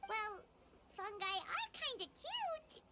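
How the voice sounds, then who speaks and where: A woman playing a tiny, childlike, excitable floating companion in a video game, with an expressive, exclaiming delivery. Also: extremely high pitch, slow, a little hiss or hum, heavily acted. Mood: curious. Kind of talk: video game character acting. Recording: game music behind. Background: game music.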